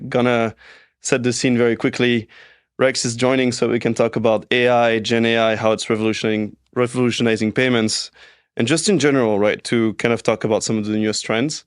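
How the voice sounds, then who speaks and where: A man's voice: medium pitch, little pitch movement, a little fast, clean tone, no echo, clean studio sound. A man hosting a payments podcast, asking long conversational questions full of "right?" and "you know". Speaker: podcast host. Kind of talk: casual podcast interview.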